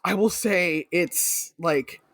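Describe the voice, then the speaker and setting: A young man from the South Bronx in New York telling personal stories casually as an interview guest, in an animated, slangy way. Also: high voice, takes it slowly, dry recording, studio quality. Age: young adult. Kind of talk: casual conversational storytelling.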